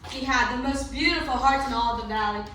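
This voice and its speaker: A woman's voice: high-pitched, a little fast, pushed and bright. A woman performing theatrical storytelling narration for readers theatre. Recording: echoey room recorded from far off, faint noise.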